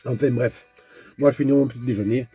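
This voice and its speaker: A man talks to his viewers in a loose, wandering vlog monologue, often stumbling and repeating himself while he searches for words. His voice is husky and very dark and mellow, with faint music underneath. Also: medium pitch, steady tone, brisk. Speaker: nomad vlogger. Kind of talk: casual rambling vlog monologue.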